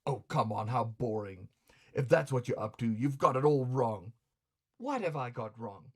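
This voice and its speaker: A man's voice: medium pitch, lively intonation, normal speed. A man playing a grumbling, hot-tempered, vain male customer in a comic story, blustering with sarcastic, colloquial indignation. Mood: bossy, suspicious.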